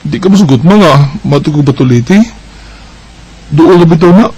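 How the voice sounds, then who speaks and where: A man's voice: on the high side, normal speed, warm tone, lo-fi sound, close to an everyday voice. A man with a Bisaya Filipino accent acting a restless, stubborn young village man in a radio drama. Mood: pleading. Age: young adult. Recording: faint background noise.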